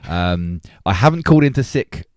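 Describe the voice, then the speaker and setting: A man's voice: sits low, very animated, moderate pace, no echo, clean studio sound. A middle-aged man, chatting casually as a co-host of a film podcast. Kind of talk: casual chat.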